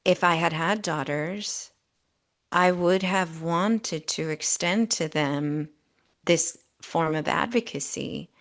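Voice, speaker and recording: A middle-aged woman co-hosting a podcast, speaking in an earnest, emphatic, conversational way with deliberate phrasing. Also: lowish voice, a bit bright. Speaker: podcast co-host. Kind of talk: conversational commentary.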